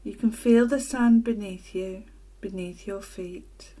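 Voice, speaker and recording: Female meditation teacher, gentle and breathy-soft, very unhurried, deliberate phrasing for guided relaxation. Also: mid-range voice, fairly even intonation. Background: ocean waves sound loop.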